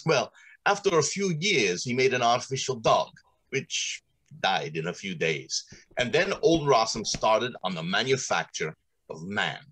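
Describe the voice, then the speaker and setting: A man's voice: medium pitch, big pitch swings, unhurried, a bit bright, breathy edge, full character voice. A middle-aged man playing a pompous, courteous factory general manager in old-time radio drama style, theatrical and businesslike. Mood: enthusiastic storytelling. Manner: theatrical, businesslike, hesitating with ums.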